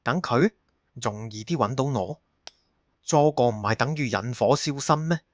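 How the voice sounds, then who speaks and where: A man's voice: medium pitch, moderate pace, dry recording, clean studio sound, natural voice. A man acting a haunted, bitter fugitive in an audio drama, with a brooding delivery. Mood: anguished. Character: haunted, bitter man hiding for thirty years, resigned.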